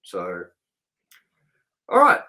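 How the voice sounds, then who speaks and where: A man's voice: extremely slow, sharp tone. A man hosting a casual film review chat, speaking in an informal, chatty way with some swearing. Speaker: podcast host and film reviewer.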